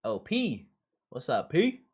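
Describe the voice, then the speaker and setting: Slow, animated young man livestreaming. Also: mid-range voice, husky. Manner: slangy, swearing, repeats phrases. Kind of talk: casual livestream chat, reacting to what is on screen.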